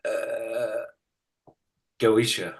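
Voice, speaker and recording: A man from the UK with a British accent and a fairly low voice. He answers in short, casual bursts, pausing to think and often turning things into a joke. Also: flat delivery. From United Kingdom. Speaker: interview guest.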